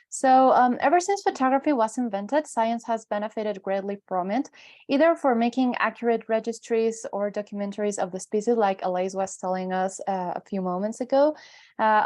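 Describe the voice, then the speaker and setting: A woman's voice: a little high, a little mellow. A woman with a Mexican accent giving an informal presentation in a conversational, explanatory way. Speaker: guest speaker, wildlife photographer and educator.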